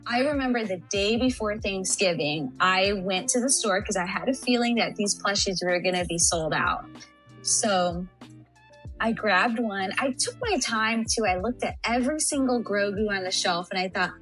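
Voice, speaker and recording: A woman's voice: medium pitch, normal speed, fairly bright tone, soft background music, rough recording. Bubbly, chatty young Texan woman. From San Antonio, Texas. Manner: bubbly, chatty, laughs often. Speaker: interview guest. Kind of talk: casual podcast interview.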